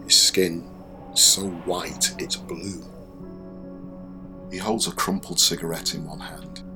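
A man's voice: low voice, steady tone, slow, creaky, heavily acted. An actor playing a young man who tells his own story in a confiding, wry radio drama monologue. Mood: anxious, grave. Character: young man narrating his walk home, wry and anxious. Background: music behind the voice.

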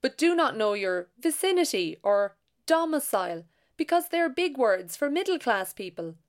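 A woman's voice: high-pitched, sing-song, normal speed. A woman reading her own essay aloud in a sardonic, wordy manner.

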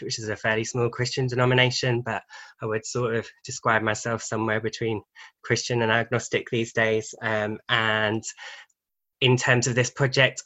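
A man's voice: mid-range voice, pushed and bright, poor audio. A man hosting a panel discussion, making introductions in an informal, friendly way. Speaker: host, programmes manager.

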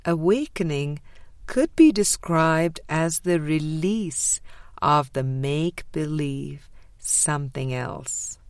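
A woman narrating a spiritual audiobook in a measured, deliberate way with frequent pauses. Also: low-pitched, expressive, no echo, clean studio sound. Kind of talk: scripted spiritual book reading.

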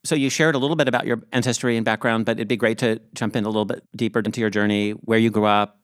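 A man hosting a podcast, speaking in a measured, articulate way with formal phrasing in introductions and interview questions. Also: on the low side, steady tone, no echo, clean studio sound. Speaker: podcast host.

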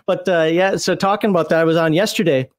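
A man's voice: on the high side, steady tone, brisk. A man chatting casually on a live stream, rambling with lots of fillers and laughs, his voice a little worn from talking. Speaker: streamer co-host.

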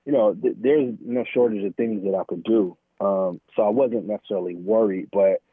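An American man speaking candidly and informally as an interview guest, halting often and peppering his talk with "man" and "you know". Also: lowish voice, husky, no room sound. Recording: thin phone-like line, dry.

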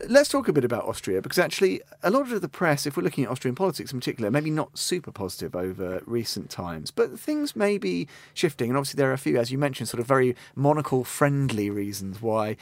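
A man's voice: medium pitch, sing-song, fast talker, few pauses, no echo. Male radio host in the studio, bit wry, chatty with guests, dry close sound. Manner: friendly, wry banter with guests. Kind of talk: hosting a studio discussion.

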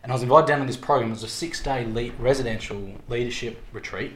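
A man in his twenties with an Australian accent, telling his story casually as an interview guest, reflective and halting as he searches for words. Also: mid-range voice, fairly bright tone. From Victoria, Australia. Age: 20s. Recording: echoey room, background noise.

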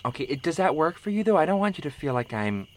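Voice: medium pitch, sing-song, quick pace, noisy background, lightly acted. An actor playing a needy, oblivious woman in an improvised radio play, rambling, repetitive and pushy. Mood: apologetically pushy. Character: needy, clingy, oblivious woman named Cheryl, fussing persistently. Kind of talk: improvised radio drama acting.

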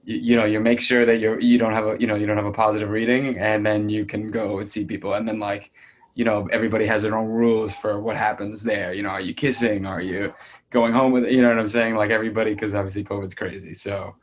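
A man's voice: on the low side, little pitch movement, quick pace. A man chatting casually in a rambling, informal way full of filler phrases. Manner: rambling, peppered with "like" and "you know". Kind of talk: casual chat.

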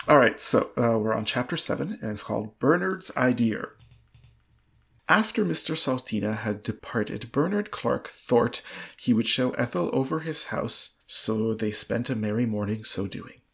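Man, creaky and raspy voice, mid pitch, narrated storybook reading, podcast host. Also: animated, normal speed, fairly bright tone. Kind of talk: dramatic reading of a novel.

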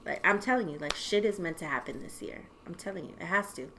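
A young woman co-hosting a podcast, talking casually in an animated, rambling way with plenty of swearing. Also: lowish voice, quick pace, slight background noise, rough recording. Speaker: podcast co-host. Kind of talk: casual chat.

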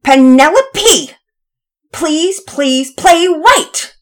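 A woman voicing a bossy, impatient young boy in a children's story, loud and commanding. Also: on the high side, sing-song, normal speed, pitched above the performer's own voice. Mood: exasperated.

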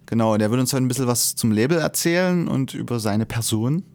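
Relaxed German radio host, man. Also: mid-range voice, quick pace, no room sound. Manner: relaxed, chatty with filler sounds. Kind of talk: casual radio interview. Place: radio studio.

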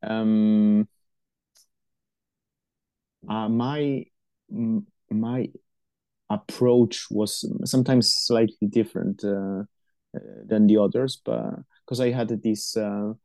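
A man's voice: on the low side, fairly even intonation, takes it slowly, gravelly, pauses often, mellow, no room sound. A middle-aged man who is not a native English speaker, answering interview questions about supporting university students, with many fillers and restarts.

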